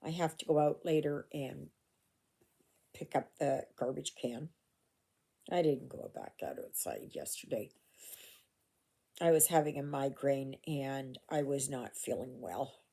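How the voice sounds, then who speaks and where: A woman's voice: rumbling low, measured pace, creaky, long pauses, dark tone. An older woman from Canada chatting casually while she stitches, rambling with asides and fillers, recorded at home on a phone. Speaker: cross-stitch vlogger. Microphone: phone camera.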